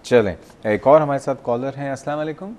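A man's voice: medium pitch, a little fast, a little mellow. A man in his thirties with a Pakistani accent, chatting courteously as host of a live TV call-in show. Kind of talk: conversational live TV hosting. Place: TV studio at a desk. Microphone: lapel mic. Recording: clear background noise.

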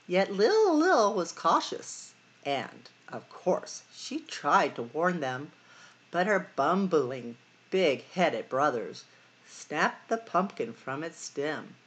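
Animated middle-aged woman reading to children. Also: low-pitched. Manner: sing-song, dramatic emphasis and drawn-out words. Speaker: library storytime host. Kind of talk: expressive picture-book read-aloud for children.

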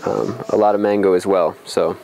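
A young man with a fairly low voice gives an informal, explanatory tour. He thinks aloud a little hesitantly as he goes. He wears a lapel mic outdoors, with light ambient noise behind him. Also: flat delivery, moderate pace, vocal fry, a little mellow. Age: young adult. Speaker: farm guide. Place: outdoors on a farm.

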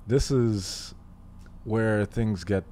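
A man in his twenties from Haiti, telling his story as a guest, thoughtful and pausing often with fillers. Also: fairly low pitch, mellow, a little hiss or hum. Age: 20s. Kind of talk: casual interview. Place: living room podcast set. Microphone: studio mic on boom arm, headphones.

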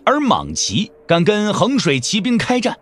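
A man's voice: high-pitched, wildly swooping pitch, a little slow, a bit bright. A man giving dramatic scripted narration as the storyteller of a martial-arts audio drama.

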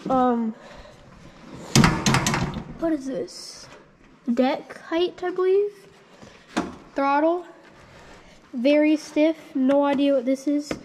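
High-voiced woman musing through a vlog. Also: very slow, long pauses, noisy background. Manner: thinks aloud, rambling and unsure, frequent ums. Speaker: channel host. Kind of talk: casual walkthrough vlog.

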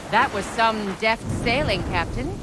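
A woman's voice: fairly high pitch, animated, measured pace. An actress playing a confident, grateful woman aboard a sailing ship. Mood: assured, grateful. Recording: storm rain and noise behind.

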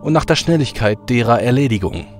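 A man playing a stern, booming ruler of the gods in an audio drama. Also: expressive, a little fast, soft background music, lightly acted. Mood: jubilant, commanding.

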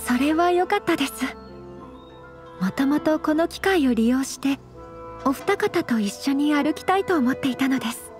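A woman playing a polite, refined young noblewoman in a Japanese anime game, with formal, gently measured speech. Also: high voice, expressive. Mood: gently pleased. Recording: music under the voice.

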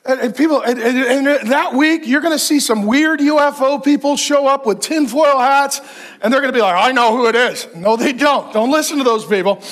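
High-voiced fifty-something male pastor preaching. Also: moderate pace. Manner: animated, joking, gestures a lot while preaching. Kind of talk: sermon. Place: large church stage with a live audience.

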